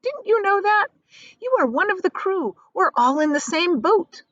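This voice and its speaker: A woman voicing a kindly, sympathetic giant ladybug from a children's storybook, with a gently reassuring, exclaiming manner. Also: extremely high pitch, very animated, normal speed, no echo, highs cut off, voice pushed up. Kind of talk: children's storybook read-aloud.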